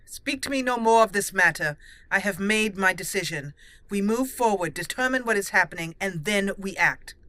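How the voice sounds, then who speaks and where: A woman voicing a resolute young female elf mage in a game roleplay, speaking formally, deliberately and solemnly. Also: mid-range voice, expressive, pushed and bright, no echo. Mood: firm, solemn. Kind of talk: improvised video game roleplay.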